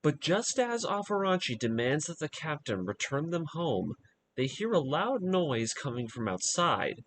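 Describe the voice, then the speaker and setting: A man's voice: on the high side, big pitch swings, unhurried, a bit bright, slight background noise. A man narrating a scripted story recap in a dramatic storytelling manner, as in a fan video essay. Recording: voice over background music. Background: music.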